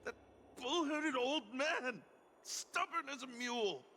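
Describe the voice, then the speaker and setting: A man's voice: very high voice, lively intonation, takes it slowly, bright, forceful tone, slight background noise, full character voice. A man playing a blunt, exasperated city worker and stubborn son in a video game. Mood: bitter grief.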